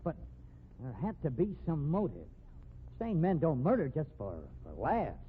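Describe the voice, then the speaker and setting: Earnest, high-pitched sleuth. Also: male voice, huge pitch swings, a little slow, breathy edge, exaggerated voice. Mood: insistent. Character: earnest, dogged male amateur sleuth. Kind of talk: 1950s radio drama acting. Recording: tinny old radio recording.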